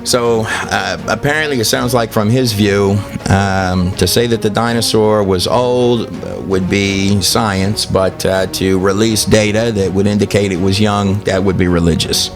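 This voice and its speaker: A man hosting a documentary, narrating and interviewing in deliberate, halting phrases. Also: on the low side, steady tone, over music. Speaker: host and interviewer.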